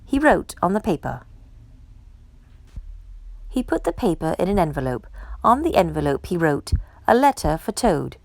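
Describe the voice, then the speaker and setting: A woman's voice: lowish voice, normal speed, pauses often, no echo. A woman reading a children's story aloud expressively, acting out the dialogue as she goes. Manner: animated, acts out character dialogue. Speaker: storytime reader.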